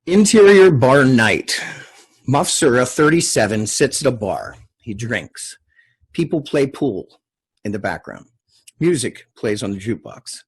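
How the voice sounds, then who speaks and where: Casting: male table-read host and narrator, raspy mid-range voice, casual, plain delivery. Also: medium pitch, sing-song, a little slow, poor audio. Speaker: host and stage-direction narrator. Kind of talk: scripted screenplay narration and casual introductions.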